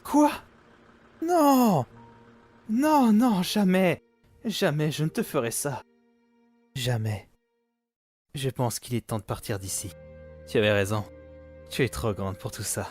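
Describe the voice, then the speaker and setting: Male actor as doting demon father, animated dub, apologetic, hesitant, theatrical, expressive pitch. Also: moderate pace, pauses often, faint music behind. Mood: tender. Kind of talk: animated series fan dub.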